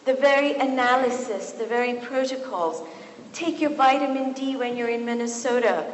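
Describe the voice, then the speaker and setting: A middle-aged woman from Kenya, a physician and author, giving a public talk with deliberate, emphatic pauses. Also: on the high side, steady tone. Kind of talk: public talk at a book event. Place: large resonant university hall. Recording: echoey hall, some background noise.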